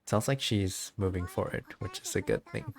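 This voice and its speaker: A man with a low-pitched voice comments casually on a game he is streaming. His delivery is giggly and a little halting, like someone thinking aloud. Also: wildly swooping pitch. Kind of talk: casual playthrough commentary with dialogue read aloud.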